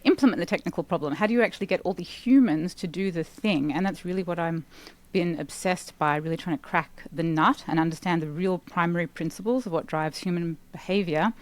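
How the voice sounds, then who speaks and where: An Australian woman in her forties, a guest talking through an interview in an animated, chatty way full of "like" fillers. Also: lowish voice, no echo. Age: 40s. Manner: animated, talkative, with frequent "like" fillers. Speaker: guest, sustainability expert. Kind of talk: casual podcast interview.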